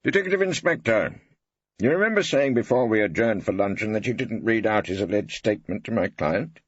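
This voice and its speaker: A man acts a shrewd old male barrister from an audiobook, low and husky. He presses his points with pompous, rhetorical relish and expressive swoops. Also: brisk, no room sound, highs cut off. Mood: needling, rhetorical. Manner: rhetorical, insistent, pompous flourishes.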